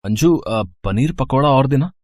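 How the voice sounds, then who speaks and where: A man's voice: mid-range voice, animated, a little slow, a little mellow. A man playing a tired husband and office worker in a cartoon story, easygoing and mildly complaining. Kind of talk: Hindi cartoon moral story acting.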